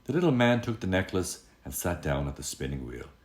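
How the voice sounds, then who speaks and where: A man telling a fairy tale aloud as an expressive storyteller. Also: sits low, brisk.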